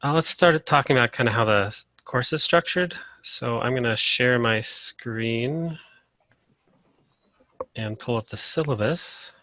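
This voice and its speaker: A man from Colorado leading a casual online class over a video call, relaxed and full of "uh" fillers. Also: mid-range voice, a little slow, no echo. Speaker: course instructor.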